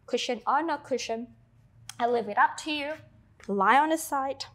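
A woman in her thirties with an Australian-tinged accent, guiding a Pilates class with slow, soothing cues and chatty asides. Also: high-pitched. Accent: Australian-tinged English. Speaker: Pilates instructor. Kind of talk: guided exercise instruction.

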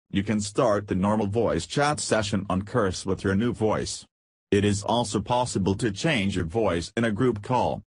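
A man with a low, husky voice narrates a software tutorial from a script. The sound is very dry and close, with no room around it. He delivers it as step-by-step instruction. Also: dry recording.

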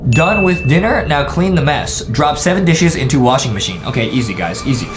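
A young man hosting a gaming video with excitable, slangy, exaggerated reaction commentary. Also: on the high side, brisk. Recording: music behind the voice. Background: background music.

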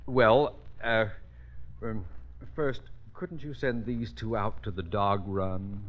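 An actor playing a pompous older sponsor in a 1950s radio comedy, formal and withering. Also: male voice, lowish voice, fairly even intonation, slow pace. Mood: formal, confiding. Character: pompous, easily disgusted older male sponsor. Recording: dull, noisy 1950s radio recording.

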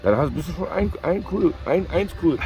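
A man's voice: a little high, animated, normal speed, mellow. A man bantering casually as a vlogger's companion, teasing and joking near a phone outdoors. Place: outdoors on holiday.

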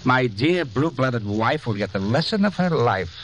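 A man's voice: medium pitch, very animated, moderate pace, fairly bright tone, a little hiss or hum, rough recording. A man playing a smug, vindictive, wealthy husband with a suave, mocking manner in a 1950s radio drama. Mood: gloating. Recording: vintage radio recording, faint music.

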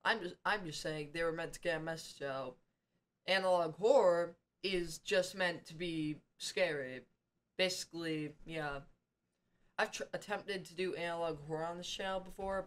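A man's voice: a little high, moderate pace, a bit bright. A young man giving casual reaction commentary as the host of a video, recorded in a room at home. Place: small home room, indoors.

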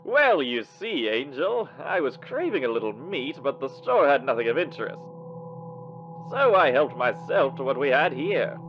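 A man playing a sinister, jovial radio demon with genteel, theatrical, drawn-out phrasing in a cartoon comic dub. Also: medium pitch, very animated, muffled recording, soft background music, close to an everyday voice. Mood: smug menace. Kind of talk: animated comic dub acting.

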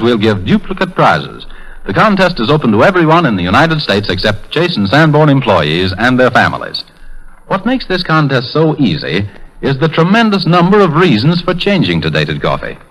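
Male radio announcer, 1930s sales pitch, very wide swings in intonation, mid-range voice. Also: fairly bright tone, poor audio. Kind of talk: 1930s radio advertising read.

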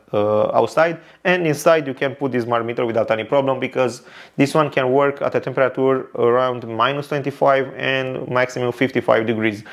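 A man in his thirties from Romania, with a Romanian accent and Romanian as his first language, reviewing a tech product in careful English with fillers and repeats. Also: medium pitch. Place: indoor studio with neon lights and shelves.

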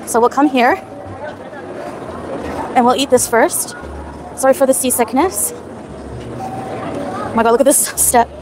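A woman's voice: high voice, fairly even intonation, slow, long pauses, crowd noise. Chatty woman streaming from a night market. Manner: chatty, reads chat names aloud while eating. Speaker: livestreamer. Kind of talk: casual live stream chat. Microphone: phone at arm's length. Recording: outdoor phone stream with loud background music.

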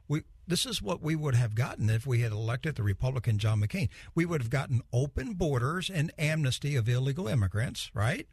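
Male host, lively and pitched high, close dry studio mic, rhetorical style. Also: a little fast, hardly any pauses, slightly rough, no room sound. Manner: animated, rhetorical and questioning. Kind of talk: political talk radio interview.

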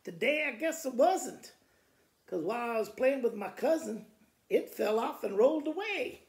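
An older man with an American accent reading children's poems aloud in a deliberate, expressive storytelling manner. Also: extremely high pitch. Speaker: school principal reading to pupils. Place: living room by a fireplace, indoors. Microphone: camera a few feet away. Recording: echoey room, camera mic at a distance.

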